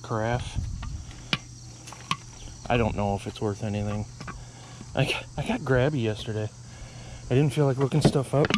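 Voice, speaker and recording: Middle-aged male reseller, offhand and musing, outdoor body-cam audio with insect noise. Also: medium pitch. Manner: offhand, thinking aloud, unsure of values. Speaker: reseller showing his haul. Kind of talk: casual vlog narration. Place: outdoors by a car trunk, rural.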